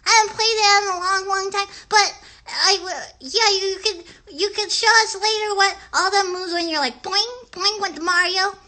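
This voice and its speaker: Very high, chatty little kid puppet. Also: female voice, moderate pace, pushed and bright. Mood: excited, friendly. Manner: rambling, childlike, stumbles on big words. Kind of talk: livestream children's puppet show.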